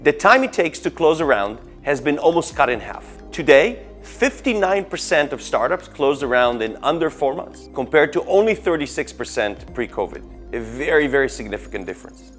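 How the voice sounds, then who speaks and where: A man's voice: on the high side, sing-song, normal speed, few pauses. A man delivering a scripted statistic with emphasis, as an industry expert. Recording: faint music underneath.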